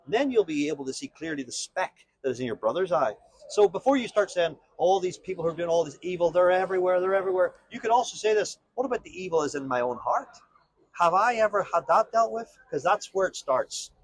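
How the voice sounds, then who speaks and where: A man's voice: sits high, lively intonation, quick pace, slightly rough, dry recording, rough recording. A man speaking as a street evangelist, explaining earnestly and informally, often putting questions to his listener. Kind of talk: informal street preaching and conversation. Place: outdoors on a town street.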